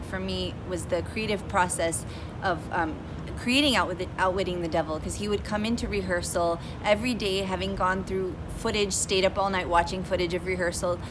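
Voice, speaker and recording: A woman's voice: mid-range voice, noisy background. A woman, a dancer with the company, chatting casually about touring and rehearsals, laughing often. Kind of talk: casual chat.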